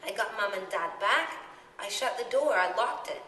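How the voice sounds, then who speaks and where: A woman's voice: medium pitch, lively intonation, normal speed, sharp tone. A woman voicing a plucky, indignant young girl in a storybook reading, with emphatic delivery. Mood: pleading. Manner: emphatic, exclaiming. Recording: echoey room, distant mic, background noise.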